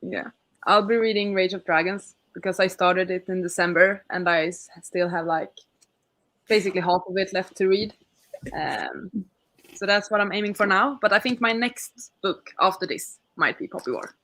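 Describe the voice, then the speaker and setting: A woman with a middling pitch hosts a casual group livestream. She talks in an informal, conversational way, as in a chat among several people. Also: normal speed, a bit bright, low-quality recording. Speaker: livestream host.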